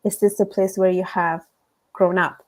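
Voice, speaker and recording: A woman's voice: lowish voice, flat delivery, normal speed. A woman from Italy with a strong Italian accent, speaking earnestly as a podcast guest, with frequent fillers. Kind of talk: interview conversation.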